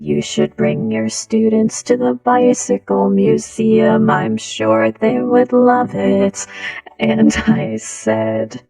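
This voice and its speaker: Slow, creaky woman telling stories. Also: on the high side, mellow, far from the mic, soft background music, poor audio. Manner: slow, giggly delivery through a voice changer. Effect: voice changer. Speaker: storyteller, content creator. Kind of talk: casual humorous personal storytelling.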